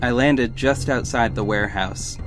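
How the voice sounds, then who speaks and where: A man narrates an audio drama in a scripted, first-person style. His voice sits at a medium pitch, with music playing clearly behind it. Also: normal speed.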